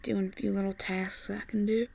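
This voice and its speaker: A woman playing a tender, worried Southern US farmer sweetheart in an audio roleplay, in a breathy, husky voice that is often near a whisper, with a soft, halting drawl. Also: medium pitch, little pitch movement. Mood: wistful, worried. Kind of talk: ASMR audio roleplay acting.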